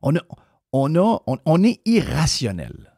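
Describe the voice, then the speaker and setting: A man's voice: a little high, wildly swooping pitch, unhurried, creaky, dark tone, dry recording, clean studio sound. A man with a Québécois French accent hosting a radio talk show, ranting bluntly and swearing freely. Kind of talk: opinionated radio rant.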